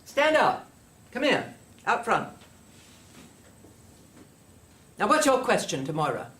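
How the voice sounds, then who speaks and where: An actress playing a stern, sanctimonious older woman indoctrinator in an audio drama, measured and condescending. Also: mid-range voice, sing-song, a bit bright. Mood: cold, commanding.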